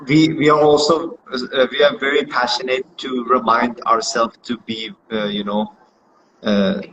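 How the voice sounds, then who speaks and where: A man's voice: medium pitch, a bit bright, slight background noise, low-quality recording. A man with a Norwegian accent, answering interview questions in a hesitant way with many fillers. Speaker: dancer being interviewed. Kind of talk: casual interview.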